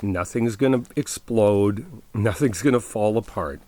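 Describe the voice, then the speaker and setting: Man performing as male best friend, deep voice, ASMR roleplay, caring, insistent, reassuring, casual one-to-one delivery. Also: a little slow, mellow, lightly acted. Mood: earnest, insistent. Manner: conversational, coaxing, addressing the listener directly.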